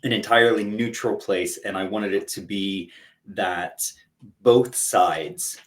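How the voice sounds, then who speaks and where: A man's voice: on the low side, fairly even intonation, slow. A man co-creating a podcast, earnestly explaining his ideas in casual chat.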